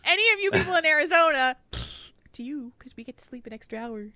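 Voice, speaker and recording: Woman co-host, bubbly and giggly, bright tone, quick to do silly voices. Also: sits high. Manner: giggly, animated, slips into playful silly voices. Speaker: podcast co-host. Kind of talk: casual chat on a live podcast stream.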